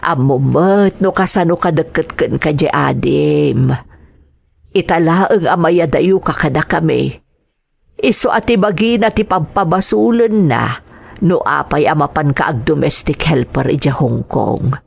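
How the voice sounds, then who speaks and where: A loving, anxious mother in an Ilocano audio drama, played by a woman. Her voice sits fairly low with a creaky edge, and the recording is close and dry. She speaks with an Ilocano Filipino accent. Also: a little slow, a little mellow, dry recording, exaggerated voice. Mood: resigned, sorrowful. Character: worried, loving mother of a daughter going abroad.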